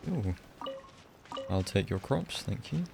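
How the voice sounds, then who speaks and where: Low, slightly creaky man streaming a game. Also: sing-song, takes it slowly, background noise. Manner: offhand, sardonic, swears casually. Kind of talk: casual live game commentary.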